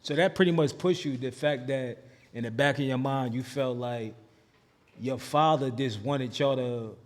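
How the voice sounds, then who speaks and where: A man's voice: mid-range voice, moderate pace, big-room sound. A man hosting a podcast, asking questions in casual slang and stumbling over repeated words as he asks. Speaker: podcast host.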